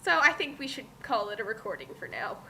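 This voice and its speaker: Female commentator, very high and forceful, halting and theatrically exasperated, some background noise. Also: big pitch swings, rough recording. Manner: halting, stammering, melodramatically exasperated rambling. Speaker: co-host of a dramatic fan fiction reading. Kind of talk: casual comedic group commentary.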